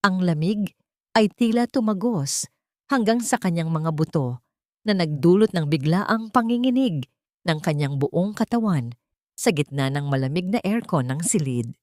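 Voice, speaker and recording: A woman narrating a scripted dramatic audio story in a calm, measured, solemn way. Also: on the low side, no echo, studio quality.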